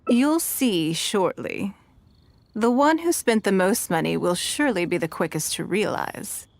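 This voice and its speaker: A woman's voice: mid-range voice, lively intonation, unhurried, vocal fry. An actress playing a poised, wealthy, shrewd woman in a video game, with a composed, knowing and formal manner.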